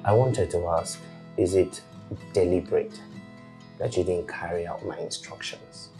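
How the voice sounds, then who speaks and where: A man playing a very deep-voiced stern boss. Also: steady tone, unhurried, over music. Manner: cold, clipped, scolding. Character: stern married male company boss.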